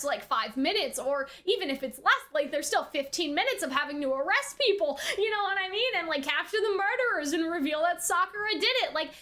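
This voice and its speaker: A woman with a high-pitched, bright voice talks through an episode review in a casual way. She rambles conversationally as she retells and speculates, tacking little questioning tags onto her phrases. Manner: chatty, rambling, often ends phrases with a questioning tag. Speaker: anime reviewer hosting a reaction video.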